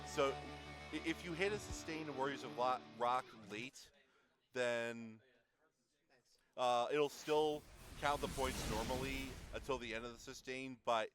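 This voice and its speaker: A man's voice: mid-range voice, a little slow. A man co-commentating a gaming tournament, explaining game mechanics hesitantly with fillers. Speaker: co-commentator and player. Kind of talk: casual esports color commentary. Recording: guitar game music underneath.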